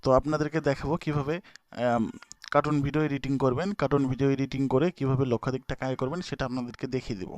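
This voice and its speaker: A man's voice: medium pitch, flat delivery, rapid-fire, darkish tone, dry recording. A young man walking viewers through a video app in an informal, hesitant way, with many fillers and restarts. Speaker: YouTube tutorial host. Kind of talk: casual screen-recorded app tutorial.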